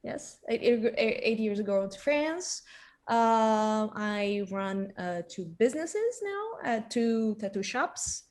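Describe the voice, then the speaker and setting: Woman from Greece, guest singer, unhurried, hesitant, a bit high. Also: expressive, darkish tone. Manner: shy, hesitant, laughs often. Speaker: singer and tattoo shop owner. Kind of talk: casual chat.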